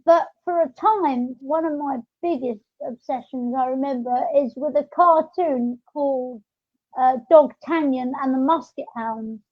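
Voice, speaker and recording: High-pitched woman, halting podcast chat. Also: dry recording. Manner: rambling, halting explanations. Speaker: podcast host. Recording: dull, lo-fi audio.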